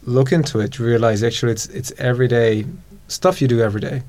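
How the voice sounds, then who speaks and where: A man's voice: medium pitch, quick pace, a little mellow. Hungarian guy chatting on a podcast, laid back, laughs a lot. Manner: thoughtful, many restarts, laughs easily. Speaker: guest expert. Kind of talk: casual podcast chat.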